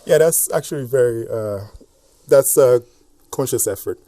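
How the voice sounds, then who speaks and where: A man in his thirties from Haiti with a Haitian accent, a guest author talking casually in a radio interview, soft-spoken and quick to laugh. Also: mid-range voice, no echo.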